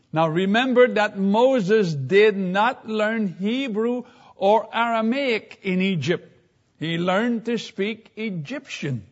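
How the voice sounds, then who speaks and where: A man with a high voice preaching a slow, deliberate sermon. He teaches from scripture, reading passages aloud and unpacking them line by line. The recording sounds dull and lo-fi.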